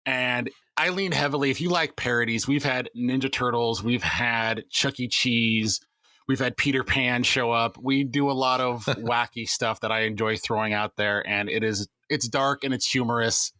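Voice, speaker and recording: A man game master on a podcast roundtable who talks in a jokey way with quick asides. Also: medium pitch, bright, forceful tone, no echo.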